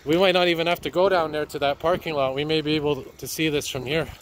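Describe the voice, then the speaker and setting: A man, slightly hoarse from a cold, narrating as he walks by a flooded river with a handheld camera. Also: a little high, steady tone, quick pace. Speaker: citizen video reporter. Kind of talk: walking commentary. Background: street and water noise.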